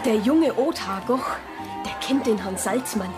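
A curious, modest deaconess with a Swabian accent. Her voice is slightly high and homely, set in a radio play with loud music underneath. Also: animated, unhurried. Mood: earnest. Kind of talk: radio drama dialogue and narration.

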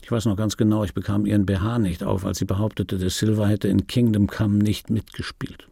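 An actor playing a middle-aged film dubbing actor in a radio play, reminiscing in a wry, pedantic, digressive way with a gravelly, husky voice. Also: male voice, lowish voice, flat delivery, fairly quick, warm tone, no echo, clean studio sound. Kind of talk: radio play monologue, confiding first-person reminiscence. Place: dry radio drama studio.

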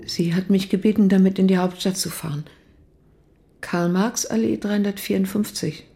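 An actress playing a gravely ill East German woman in a radio drama, grieving, hesitant and guarded. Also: mid-range voice, steady tone, normal speed, mellow, close to an everyday voice. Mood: hesitant, confiding.

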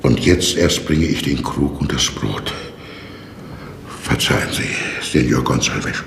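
An actor playing a flustered, apologetic new prison warder in a fussy, rambling manner, in a radio drama. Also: male voice, very deep voice, takes it slowly, creaky, a bit bright, heavily acted. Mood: apologetic, weary. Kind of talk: 1960s German radio drama acting. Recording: echoey stone-walled reverb, noisy old radio recording.

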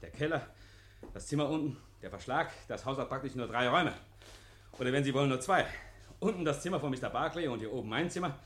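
An actor playing a nervous, over-eager murder suspect in a 1950s radio play, effusive, stammering and repeating himself. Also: male voice, mid-range voice, animated, fast, fairly bright tone, lightly acted. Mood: over-eager, polite.

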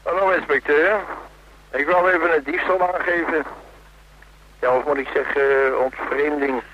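Cheeky radio-drama phone caller: highish, rough-edged voice through a telephone filter, playful and ironic. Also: male voice, steady tone, normal speed. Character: cheeky, breezy man phoning in. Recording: dull, band-limited telephone voice.

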